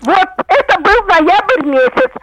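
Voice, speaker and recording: A woman in her eighties calling a radio show by telephone, telling her personal problem step by step in a deliberate way. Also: very high voice, very animated, fairly bright tone, a little hiss or hum. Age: 80s. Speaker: phone-in caller. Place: on the phone. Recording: telephone call on a radio broadcast.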